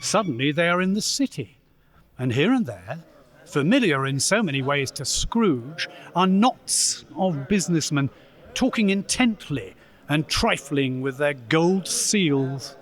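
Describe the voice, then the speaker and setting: A man performing as the narrator of a radio play, solemn and measured in his dramatic storytelling. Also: a little high, sing-song.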